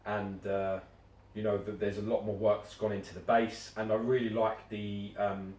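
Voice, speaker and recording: Blunt man, low voice, echoey room. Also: flat delivery, normal speed, darkish tone. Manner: blunt, swears casually, restarts often. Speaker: collectibles reviewer. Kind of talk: casual chat. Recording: echoey room, mic at a distance.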